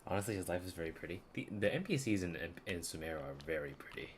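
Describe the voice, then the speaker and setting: A man's voice: low-pitched, expressive, very fast, slight background noise. A man giving casual livestream commentary as he plays a game. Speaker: game streamer.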